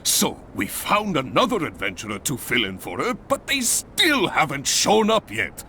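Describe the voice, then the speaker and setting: An actor playing an earnest, polite guild organizer in a video game, explaining things and giving thanks. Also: male voice, on the high side, huge pitch swings, normal speed, bright, forceful tone, a little hiss or hum, full character voice. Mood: concerned. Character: earnest, grateful male adventurers' guild organizer. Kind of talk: game voice acting.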